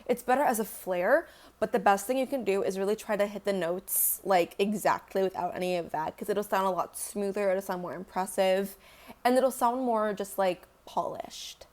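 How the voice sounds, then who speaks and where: Young woman, casual vlog chat, rambling, swears offhandedly, mid-pitched voice. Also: moderate pace, a little mellow. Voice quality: deeper singing voice. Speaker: singer answering fan questions.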